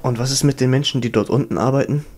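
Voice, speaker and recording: Man playing an inquisitive adventurer, mid-pitched. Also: fast, darkish tone, soft background music, natural voice. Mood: questioning. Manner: inquisitive, conversational. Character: male adventurer, questioning, bargaining with captors. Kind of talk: German fantasy audio drama acting.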